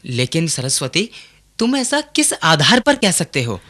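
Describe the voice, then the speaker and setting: An actor playing a concerned, sensible young friend who questions and counsels in earnest, formal diction in a radio play. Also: male voice, fairly high pitch, sing-song, unhurried, bright, forceful tone, full character voice. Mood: alarmed, curious. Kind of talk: Hindi radio play acting.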